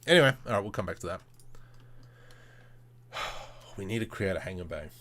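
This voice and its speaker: Low-voiced man chatting on a casual livestream. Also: expressive, a little fast, fairly bright tone, slight background noise. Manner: informal, muttering to himself, playful self-talk. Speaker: livestream host demonstrating 3D modelling. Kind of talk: casual livestream chat with thinking-aloud tutorial commentary.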